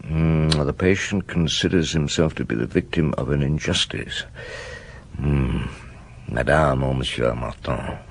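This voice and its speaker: An actor playing a weary, doubting middle-aged chief inspector in a radio drama, probing, hesitant and often muttering to himself. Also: male voice, rumbling low, measured pace, gravelly, a little hiss or hum, exaggerated voice. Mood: musing, muttering. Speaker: detective and narrator. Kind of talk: radio drama dialogue and narration.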